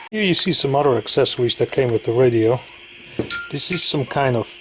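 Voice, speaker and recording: Male hobbyist demonstrator, mid-range voice, informal and slightly halting, some background noise. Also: medium pitch, poor audio. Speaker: radio enthusiast presenting equipment. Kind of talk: casual hands-on demonstration narration.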